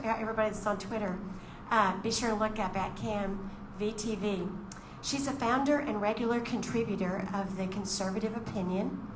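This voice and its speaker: A woman's voice: medium pitch, roomy sound, noisy background. A woman emceeing a meeting, reading out a speaker's bio with chatty asides. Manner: reads bios with chatty asides. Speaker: event emcee. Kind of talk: introducing speakers.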